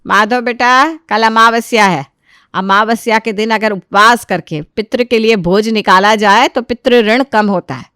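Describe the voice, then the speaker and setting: A high, smooth voice for a domineering, pious older matriarch , acted out by a woman. She issues orders and scolds insistently, in the manner of a storybook mother-in-law. The recording is close and dry. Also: unhurried, sharp tone, dry recording. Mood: bossy, pious. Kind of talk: acted dialogue in a moral story.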